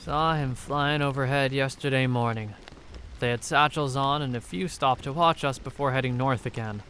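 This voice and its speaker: A man's voice: medium pitch, normal speed, fairly bright tone, slight background noise, lightly acted. A man playing a laid-back soldier in an audio story, talking in a casual, offhand way. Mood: matter-of-fact. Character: laid-back male soldier, speculative, mildly teasing. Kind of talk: audio drama dialogue.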